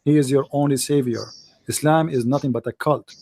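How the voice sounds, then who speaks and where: A man whose first language is Arabic, hosting a live call-in debate and arguing in a forceful, mocking way with non-native English grammar. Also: medium pitch, steady tone, fairly quick. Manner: forceful, mocking, repeats phrases. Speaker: show host and debater.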